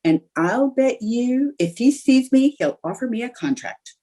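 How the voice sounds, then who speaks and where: A woman's voice: a little high, lively intonation, a little slow, warm tone. A woman playing a scheming, stubborn, stagestruck housewife in old-time radio comedy, coaxing and indignant in a theatrical way. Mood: defiant. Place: remote video-call production.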